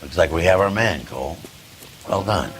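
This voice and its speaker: An actor playing a gruff, excitable middle-aged American police detective with a blunt, slangy way of talking. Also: male voice, low voice, normal speed, noisy background. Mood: wry, conceding. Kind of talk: dramatic video game acting.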